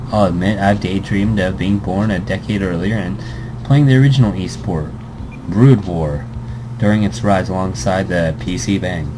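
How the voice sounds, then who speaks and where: Male let's play host, low voice, reads the story aloud and stumbles over words, loud air conditioning hum. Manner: stumbles and restarts words, chuckling asides. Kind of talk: reading a visual novel aloud with commentary.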